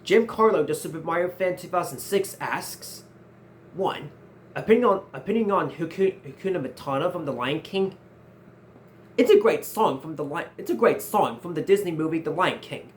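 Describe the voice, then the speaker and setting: Young man, 19, high for a male voice, earnest Q&A host who restarts his sentences. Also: expressive, moderate pace, a little hiss or hum. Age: 19, nearly 20. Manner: earnest, repeats and restarts phrases often. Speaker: channel host answering viewer questions. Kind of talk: casual Q&A vlog.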